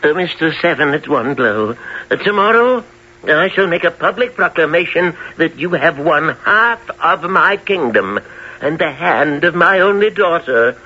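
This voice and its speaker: Fussy scheming king, kinda high bright voice, pompous radio acting, dull old recording. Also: expressive, exaggerated voice. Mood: grand, hesitant. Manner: pompous, deliberate, hemming. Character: fussy, scheming fairy-tale king and exasperated father. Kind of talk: 1970s old-time radio drama acting. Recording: muffled 1970s radio recording.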